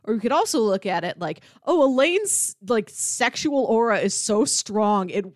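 A woman's voice: fairly high pitch, very animated, moderate pace, no echo, studio quality. A woman co-hosting a podcast, chatting casually and conversationally about film.

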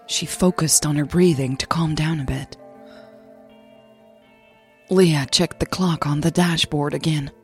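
A woman narrating a scripted romantic audio drama in a soft, measured storytelling manner. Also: sits low, steady tone, faint music behind. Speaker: narrator and podcast host.

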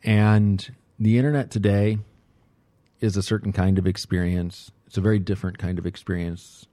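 A man's voice: sits low, little pitch movement, moderate pace, dark tone, no echo. A middle-aged man recording a casual, rambling audio diary indoors on a close microphone, musing hesitantly and repeating himself in short phrases. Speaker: host of a personal audio log. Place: indoors at home.

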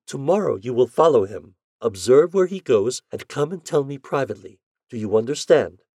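A man voicing an anxious, jealous young wife with a high, breathless, emotional delivery. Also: lively intonation, moderate pace, mellow, slightly rough, dry recording, studio quality. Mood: agitated.

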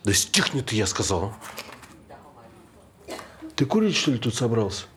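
An actor playing an irritable, plain-spoken male hospital patient, chatty and gruffly familiar, in a stage drama. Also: lowish voice, very animated, a little slow, fairly bright tone. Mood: exasperated. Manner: colloquial, gruffly familiar, exasperated. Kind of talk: naturalistic stage drama acting.

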